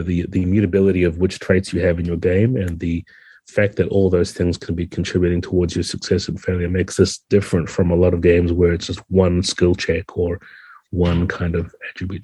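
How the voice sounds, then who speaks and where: Male interview guest, game designer. Low husky voice, flat intonation, dry close sound. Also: moderate pace, no room sound. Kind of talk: explanatory podcast interview talk.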